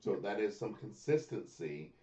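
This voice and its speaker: Very low mellow guy, voice bounces around a lot, echoey muffled room. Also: very animated, a little hiss or hum. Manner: short reactions and quick questions. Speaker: podcast co-host. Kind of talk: casual chat about pro wrestling. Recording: roomy, dull lo-fi sound.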